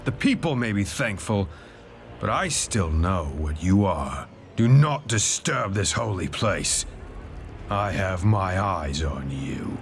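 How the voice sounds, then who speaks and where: A haughty holy warrior from a fantasy game. He is pompous and contemptuous, weighing each word very slowly. The pitch swings widely as he threatens, with faint music underneath. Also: sing-song, heavily acted. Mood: menacing. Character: haughty, menacing zealous holy warrior of a city. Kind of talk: video game cutscene acting.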